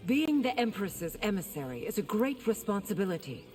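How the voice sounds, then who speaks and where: A woman playing a brisk, dignified royal princess in video game dialogue, recorded at some distance. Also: medium pitch, big pitch swings, darkish tone, faint music behind, lightly acted. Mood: formal, assured. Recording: distant from the mic.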